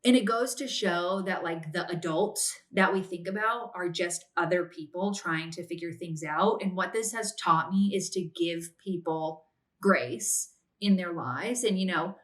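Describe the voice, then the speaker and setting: A woman's voice: on the low side, a little slow, fairly bright tone. A woman in her late twenties hosting a podcast, giving casual advice in a chatty way, often using "like" and "if that makes sense". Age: 20s. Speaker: podcast host.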